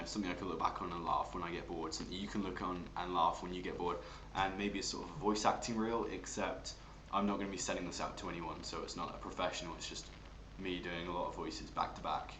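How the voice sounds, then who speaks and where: An Englishman talks casually, introducing character voices as an amateur performer. His own voice sits fairly low. The room is echoey and there is faint background noise. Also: steady tone, fairly quick, fairly bright tone. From England. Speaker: amateur voice actor. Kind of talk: casual chat introducing accent impressions. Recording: roomy, noisy home recording.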